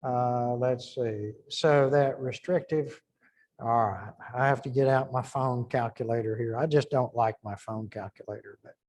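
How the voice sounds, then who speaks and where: A man's voice: medium pitch, steady tone, a little slow, a little mellow. A middle-aged man giving an informal engineering lecture, thinking aloud and restarting phrases as he works through numbers.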